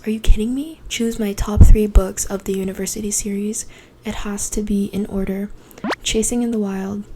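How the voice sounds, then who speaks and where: A young woman from the Philippines, whose first language is Cebuano, rambles through a chatty bookshelf tour late at night. She sounds tired, and her voice often drops to a hushed, breathy tone. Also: mid-range voice, slight background noise. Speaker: book vlogger.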